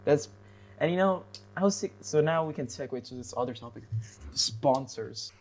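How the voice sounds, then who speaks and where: A man's voice: on the high side, animated, moderate pace, a little mellow. A teenage boy co-hosting a casual podcast chat, rambling with plenty of fillers and restarts. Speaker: podcast co-host.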